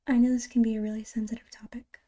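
A woman playing a caring, reassuring girlfriend in an ASMR roleplay, soft-spoken and breathy, close to a whisper, with halting, tender delivery. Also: on the high side, flat delivery, lightly acted. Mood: gentle concern.

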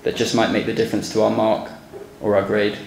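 A young man, a former student, giving a measured, sincere speech from a podium on a school stage, with some hesitant restarts. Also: lowish voice, monotone, creaky, a little mellow. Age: young adult. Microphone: podium microphone. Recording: echoey hall, faint background noise.

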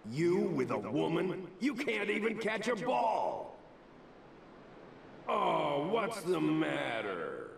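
An actor playing a gruff, mocking bully of a father in a video game, with a gravelly, taunting, drawn-out delivery. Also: a little high, exaggerated voice. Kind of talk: video game cutscene acting. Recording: echoey, distant, faint noise behind.

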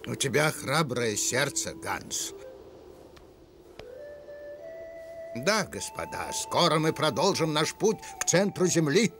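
A man's voice: a little high, lively intonation, a little slow, over music. A man voicing a gloomy older animal professor in a dubbed cartoon, solemn and lamenting.

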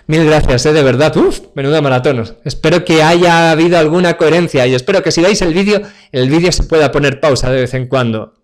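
A man in his forties from Spain runs a live online tech demo over his webcam. He jokes and chats straight to the audience, and his voice sits a little high for a man. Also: brisk. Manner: chatty and joking, speaks straight to the audience. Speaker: cloud computing speaker. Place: home office, indoors. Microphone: webcam video call.

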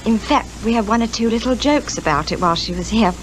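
An actress playing a sympathetic, chatty and respectful hotel maid with a British accent in a 1950s radio drama. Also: female voice, fairly high pitch, quick pace, lightly acted. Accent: British English. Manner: deferential, homely phrasing. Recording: noisy 1950s radio recording.

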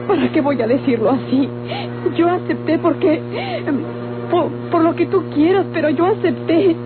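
A tinny old radio drama recording with music behind it. An actress plays a defiant young daughter with a high voice and a Mexican accent, pleading and anguished in melodramatic style. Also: female voice, moderate pace, natural voice. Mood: pleading, resolute.

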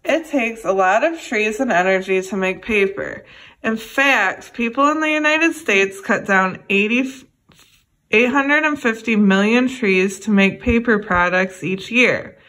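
A young adult woman reading a children's educational book aloud, addressing young listeners in a deliberate way. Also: mid-range voice, fairly bright tone. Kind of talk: read-aloud children's lesson.

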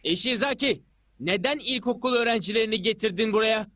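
A man's voice: high voice, fairly quick, sharp tone, a little hiss or hum. A man voicing an arrogant young boy goalkeeper and captain in a dubbed cartoon, with a mocking, haughty manner. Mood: haughty, challenging.

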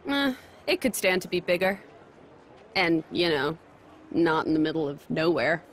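A woman's voice: low voice, very animated, normal speed. A woman voicing a blunt, sarcastic young warrior woman in a video game cutscene, snappy and unapologetic. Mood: dismissive.

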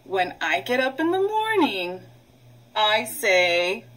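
A middle-aged woman, a preschool teacher, leading a read-aloud vocabulary lesson in an instructional way, pausing for children to repeat. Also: mid-range voice, very animated, fairly bright tone, low-quality recording. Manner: instructional, prompting with pauses for repetition.

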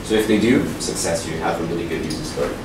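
Man, very low voice, explaining steadily, far from mic in an echoey room. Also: fast, heavy background noise. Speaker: presenter. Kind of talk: tech meetup talk. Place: large meeting room with projector.

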